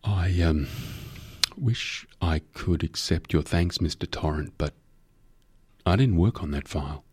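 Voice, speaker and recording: Man reading as a hesitant, low-voiced junior lawyer. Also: measured pace, darkish tone. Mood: hesitant, apologetic. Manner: hesitant, stammering ums, deferential. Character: nervous, modest junior male lawyer, older second-year.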